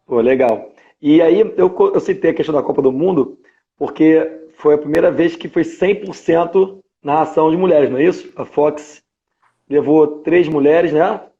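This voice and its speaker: A Brazilian man hosting a live-stream interview, asking questions in a relaxed way. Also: on the high side, moderate pace, dull audio. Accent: Brazilian Portuguese.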